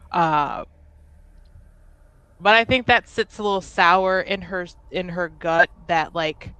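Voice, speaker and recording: A woman's voice: fairly low pitch, fairly bright tone, no room sound, rough recording. A woman playing a tabletop roleplaying game, chatting casually and hesitantly as she thinks aloud about her character. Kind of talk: casual improvised roleplay chat.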